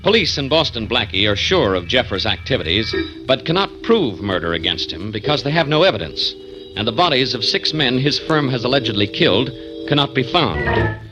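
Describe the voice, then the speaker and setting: Male radio narrator over loud music. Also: medium pitch, sing-song, a little fast, poor audio. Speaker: announcer, narrator. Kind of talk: 1940s radio scripted narration. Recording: old radio recording, loud music behind.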